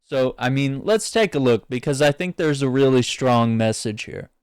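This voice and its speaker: A man hosting casual political commentary, reacting to clips in a halting way and often repeating his words. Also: medium pitch, animated, a little mellow, clear, resonant tone, no echo, clean studio sound.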